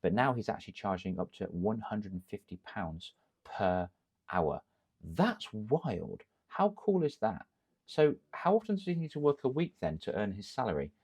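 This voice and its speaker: Low-voiced upbeat English coach. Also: male voice, moderate pace, breathy edge. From England. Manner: energetic, coaching tone with rhetorical questions. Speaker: confidence coach. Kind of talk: direct-to-camera business advice.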